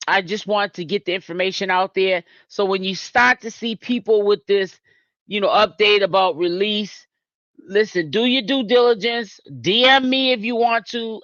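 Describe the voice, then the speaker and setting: Chatty live-stream host from Boston, man, high register, dull lo-fi audio. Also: a bit bright, no room sound. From Boston, Massachusetts. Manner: chatty, talks straight to viewers in chat. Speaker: YouTube commentary host. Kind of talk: casual live-stream commentary.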